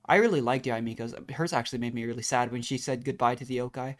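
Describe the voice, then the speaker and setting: Very low-voiced woman streaming a game. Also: fast talker. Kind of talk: casual live game commentary.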